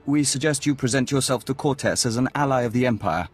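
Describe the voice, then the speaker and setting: A man playing a serene, courteous hive-mind diplomat in a video game, speaking in a calm, formal, oddly detached way. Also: medium pitch, fast, husky, soft background music, lightly acted. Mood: serene, polite.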